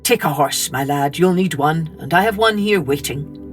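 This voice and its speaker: Woman voicing a measured, animated little old man. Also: low voice, slightly rough, faint music behind. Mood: instructing. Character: kindly, cautioning little old man, a guide. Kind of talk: children's storybook character voice.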